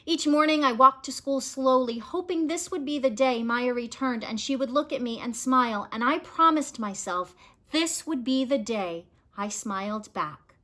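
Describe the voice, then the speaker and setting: A woman narrating a children's picture book as a read-aloud, in an expressive, measured storytelling manner. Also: high voice.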